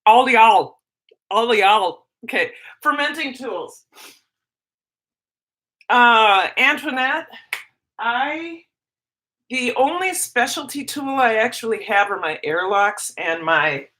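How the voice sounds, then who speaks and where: Minnesota lady rambling on a live stream at home, kinda high and bright. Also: expressive, takes it slowly, long pauses. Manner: chatty, rambling, self-interrupting. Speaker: teacher and livestream host. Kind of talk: casual livestream chat, reading viewer comments.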